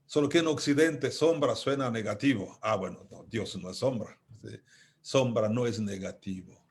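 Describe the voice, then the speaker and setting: A man from Congo with a Congolese accent, giving a reflective philosophical talk as an invited speaker, deliberate and emphatic, repeating key phrases. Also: mid-range voice, slight background noise.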